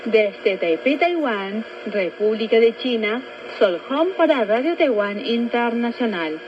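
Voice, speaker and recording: A woman's voice: on the high side, expressive, moderate pace. A woman radio announcer introducing an international news broadcast from a script. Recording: muffled, faintly noisy shortwave radio.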